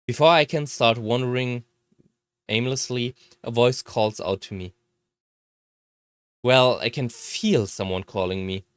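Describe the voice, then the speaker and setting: A narrator reading a first-person visual novel story aloud in a measured delivery. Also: medium pitch, clear, resonant tone, no room sound.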